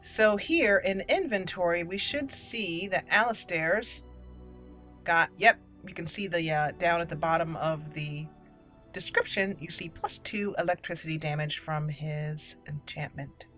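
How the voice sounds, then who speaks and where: A woman giving chatty gameplay commentary as host, slipping now and then into measured in-character roleplay speech. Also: on the low side, a bit bright. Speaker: let's play video host. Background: game music.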